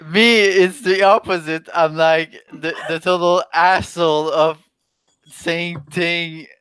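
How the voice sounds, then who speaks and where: A French-Canadian man with a thick Québécois accent talks slowly and haltingly in English, hunting for his words between chuckles. His voice is smooth and clear, with a dry, close sound. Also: a little high, fairly bright tone, no echo. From Quebec, Canada. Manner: halting, searching for English words, laughs often. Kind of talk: casual voice chat.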